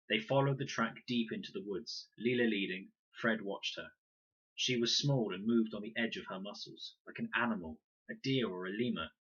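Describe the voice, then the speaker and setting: A man reading a children's audiobook in an expressive, dramatic storytelling manner. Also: on the low side, husky, highs cut off. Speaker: reader.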